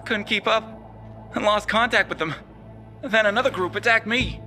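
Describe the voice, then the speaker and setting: A man's voice: high voice, normal speed. A man voicing a scared soldier left behind, talking in a nervous, rattled way. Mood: shaken. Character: shaken young male soldier, scared survivor. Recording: cutscene with loud score music.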